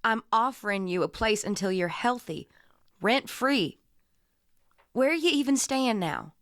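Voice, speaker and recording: A woman's voice: fairly high pitch, expressive, measured pace, fairly bright tone, no echo, studio quality. A woman playing a blunt, protective, no-nonsense sister with an American accent in a naturalistic radio drama. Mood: firm, caring. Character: blunt, protective adult sister, Texan mother.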